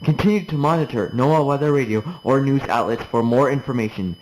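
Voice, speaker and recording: Male emergency announcer through clear noise. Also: mid-range voice, brisk, darkish tone. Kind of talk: formal scripted evacuation order. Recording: noisy broadcast with clicks.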